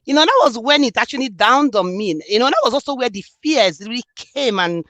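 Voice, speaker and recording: A woman's voice: on the high side, expressive, a little fast, a bit bright, no echo. A woman from Nigeria with a Nigerian accent, speaking earnestly and reflectively in an interview about her book. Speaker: author and student. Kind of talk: interview conversation.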